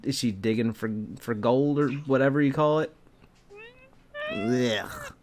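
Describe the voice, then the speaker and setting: Male co-host for a mock dramatic reading, very unhurried delivery, sarcastic asides, prone to giggling. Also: mid-range voice, darkish tone. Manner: sarcastic, giggly, breaks into laughter. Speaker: co-host reading fan fiction aloud.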